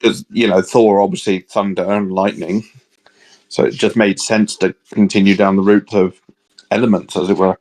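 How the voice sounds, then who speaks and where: A man's voice: lowish voice, little pitch movement, normal speed, darkish tone, poor audio. A British man chatting casually with a co-host, rambling and hesitant, laughing often. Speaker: podcast co-host. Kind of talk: casual chat.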